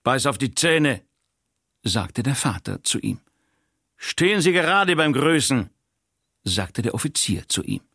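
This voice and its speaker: A man playing a dry, husky radio-play storyteller who mimics bossy authority figures. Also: mid-range voice, sing-song, unhurried, long pauses, sharp tone, dry recording, heavily acted. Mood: dry, commanding. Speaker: narrator. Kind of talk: radio play storytelling, acting out short quotes.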